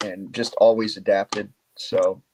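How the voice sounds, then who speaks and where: A man's voice: fairly low pitch, level tone, slow, noisy background. A man from Memphis, Tennessee, a guest musician chatting and plugging his shows in a friendly way. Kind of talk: casual interview chat.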